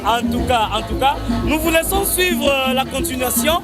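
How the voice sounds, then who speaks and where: A man's voice: very high voice, expressive, normal speed. A man reporting live for TV from an event, speaking hesitantly with many fillers. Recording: loud music and crowd behind. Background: loud music and crowd.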